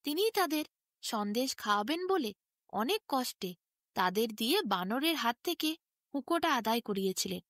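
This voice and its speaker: A woman's voice: a little high, sing-song, moderate pace, a bit bright, dry recording, studio quality. A woman, narrating a bedtime story expressively as a storyteller.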